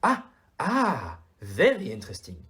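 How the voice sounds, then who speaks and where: Audio play detective: courteous, self-assured, French accent, formal and theatrical, hugely animated pitch. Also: a little slow, slight background noise. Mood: intrigued, calm. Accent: put-on French.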